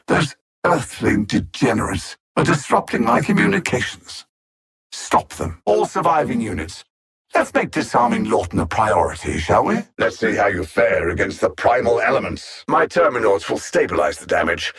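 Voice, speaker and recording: A coldly superior alien supervillain from a video game, in a British accent. His delivery is theatrical and sardonic, swinging widely in pitch. The voice is husky, with pompous, carefully placed diction. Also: sing-song, normal speed, fairly bright tone, exaggerated voice. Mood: commanding, then coldly amused. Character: haughty alien supervillain machine intellect, coldly superior, mocking.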